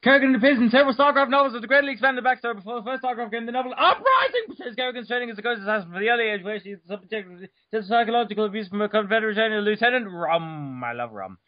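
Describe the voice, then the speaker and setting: High-voiced man doing a mock-dramatic reading. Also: animated, racing, few pauses, no echo. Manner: over-the-top delivery with stumbles and joking asides. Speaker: reader. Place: room at home. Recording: dull lo-fi home recording.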